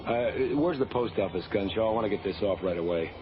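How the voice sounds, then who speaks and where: A man's voice: lowish voice, a little fast, close to an everyday voice. An actor playing an easygoing, wisecracking space crewman in a radio drama, with a wry, casual delivery. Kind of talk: old-time radio drama acting. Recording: dull radio recording over music.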